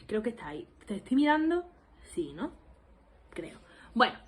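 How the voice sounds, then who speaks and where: A woman's voice: a little high, big pitch swings, normal speed. A woman in her twenties from Spain with an Andalusian accent, rambling casually to her camera as she introduces her language vlog. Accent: Andalusian Spanish. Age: 20s. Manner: rambling and self-deprecating, lots of laughs and fillers. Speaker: language learning vlogger.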